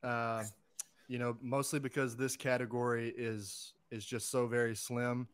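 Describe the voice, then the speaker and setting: Male podcast panelist, informal and conversational, medium pitch. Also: flat delivery, measured pace, smooth. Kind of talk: casual chat.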